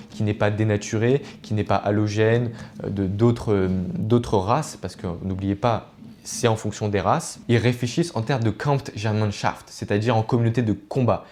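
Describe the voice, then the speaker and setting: A man's voice: fairly low pitch, a little mellow. A man giving informal explanatory commentary as a video essayist, earnest and didactic, often digressing and correcting himself.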